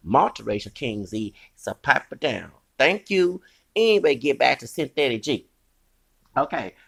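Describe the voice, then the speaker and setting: A man's voice: medium pitch, vocal fry, a bit bright. A man, ranting in a heated, rambling commentary and scolding his critics with curses. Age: 40s.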